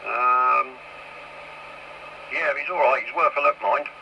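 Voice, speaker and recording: A man's voice: mid-range voice, takes it slowly, vocal fry, muffled recording. A man chatting casually over CB radio. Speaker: CB radio hobbyist. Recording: noisy, crackly CB radio.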